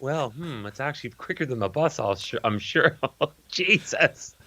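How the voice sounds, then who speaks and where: A middle-aged man chats casually as co-host of a comedy show. His voice sits at an ordinary pitch, recorded dry and free of room sound, and he rambles jokily through his stories with exclamations along the way. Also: animated, normal speed, sharp tone, dry recording. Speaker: co-host of a live comedy film-riffing show. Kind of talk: casual chat, comic storytelling.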